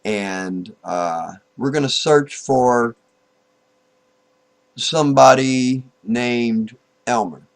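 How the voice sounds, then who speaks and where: A man giving an informal, unscripted software tutorial, thinking aloud, halting and repeating himself as he goes. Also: medium pitch, gravelly. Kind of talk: informal screen-recorded tutorial, unscripted walk-through.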